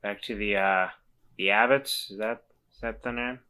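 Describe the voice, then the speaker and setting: Man playing worried, stammering young-hearted friend, bright voice. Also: medium pitch, steady tone, clean tone, lightly acted. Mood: worried, reassuring. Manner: halting, stammering, repeats words. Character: Walter, worried, gentle, hesitant friend trying to reassure.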